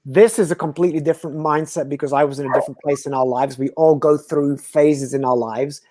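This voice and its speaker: A man from Belgium, a guest speaker talking earnestly and philosophically in slow, emphatic phrases. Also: on the high side, fairly even intonation, mellow, no room sound. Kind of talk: reflective conversational talk.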